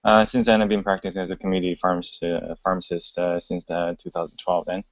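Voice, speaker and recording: Very low, flat-voiced man from China. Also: noisy background. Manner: halting, frequent "uh" fillers. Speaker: panelist, pharmacist. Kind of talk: casual self-introduction. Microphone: video call. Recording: dry, dull lo-fi call audio.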